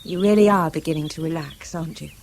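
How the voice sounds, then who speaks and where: A woman's voice: low-pitched, quick pace, a little mellow, slight background noise. An actress playing a breezy, well-spoken English woman in a 1970s radio drama, teasing and mock-stern with her companion.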